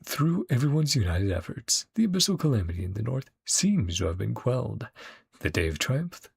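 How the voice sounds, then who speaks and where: A man's voice: medium pitch, big pitch swings, moderate pace, gravelly, slightly rough, heavily acted. A man voicing a grave, dramatic narrator of knightly legend, reading game story text aloud. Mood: solemn. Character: solemn narrator of knightly vows and triumphant warriors.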